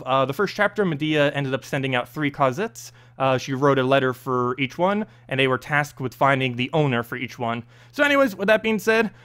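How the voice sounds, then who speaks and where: High-pitched, animated male story reader. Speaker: host reading game lore story aloud. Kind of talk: narrated story reading.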